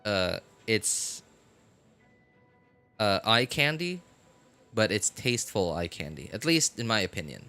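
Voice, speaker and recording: A man's voice: fairly low pitch, very animated, measured pace, pauses often, pushed and bright. A man chatting casually on a gaming livestream, rambling and correcting himself as he goes, with a chuckle now and then. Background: background music.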